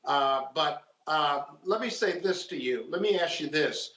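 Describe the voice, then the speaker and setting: A dull, echoey recording carries a man with a slightly high voice. He speaks as a political panelist, putting questions to a guest in a friendly, conversational way. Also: expressive, unhurried, fairly bright tone. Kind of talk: friendly political interview chat. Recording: echoey room, dull lo-fi sound.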